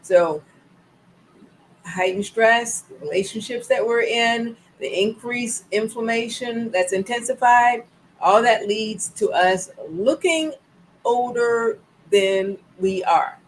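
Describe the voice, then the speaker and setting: A woman's voice: on the high side, slow pace, slight background noise, low-quality recording. A woman of sixty hosting a wellness live stream, repeating her points and chatting to viewers with bursts of laughter. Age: 60s. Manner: animated, repeats phrases, laughs, talks to viewers. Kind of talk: casual live-stream talk. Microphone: live-stream setup.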